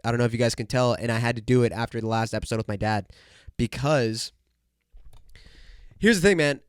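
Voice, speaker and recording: A young male podcast host with a stuffed-up, nasal voice rattles on in a loud, jokey rant, throwing "bro" and "dude" into almost every line. His pitch sits right in the middle of the range. The recording is dry and close, with almost no room sound. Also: brisk, clear, resonant tone, no echo. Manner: hyped, joking, full of "bro" and "dude". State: congested, nasal. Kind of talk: casual solo podcast rant.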